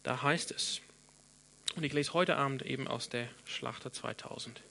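A man's voice: medium pitch, normal speed, vocal fry, bright, forceful tone. A man in his thirties, a pastor from Australia whose first language is English, preaching a sermon in German with an Australian accent, often restarting and repeating words as he thinks aloud.

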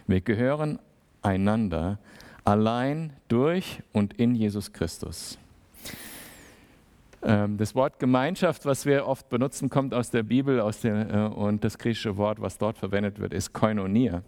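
A man giving a sermon in a relaxed, conversational way, with many fillers and little acted-out exchanges. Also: lowish voice, unhurried. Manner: conversational, acts out small dialogues. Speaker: preacher.

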